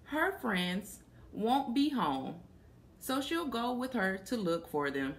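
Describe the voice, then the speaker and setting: Slow, high-pitched woman reading to children. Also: big pitch swings. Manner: asks young listeners questions while reading. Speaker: children's story reader. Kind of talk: reading a picture book aloud to children. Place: small plain room indoors.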